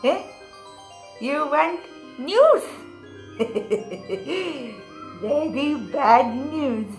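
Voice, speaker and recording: A woman voicing a gossipy innkeeper in a folk tale, with an Indian accent and halting, clipped broken English. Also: high voice, lively intonation, faint music behind, exaggerated voice. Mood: conspiratorial, gossipy. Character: gossipy Indian innkeeper sharing bad news.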